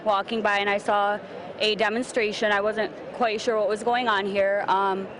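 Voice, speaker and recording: A woman's voice: mid-range voice, little pitch movement. Earnest young woman from Lebanon at a rally. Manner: earnest, indignant, measured. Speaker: passer-by being interviewed. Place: outdoors at a street rally. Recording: crowd noise of an outdoor rally.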